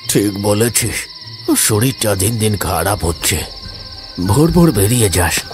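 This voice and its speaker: A man's voice: fairly low pitch, lively intonation, measured pace, voice pushed down. A man voicing a grave, cautious older village elder in a horror cartoon. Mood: concerned. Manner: stern, concerned, advising. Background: music, insect sounds.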